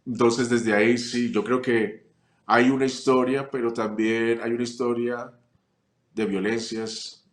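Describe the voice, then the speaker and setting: A man from Chile, a family constellations teacher, answering interview questions reflectively, with frequent pauses and restarts. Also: mid-range voice, roomy sound. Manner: reflective, many fillers and restarts. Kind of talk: relaxed interview conversation.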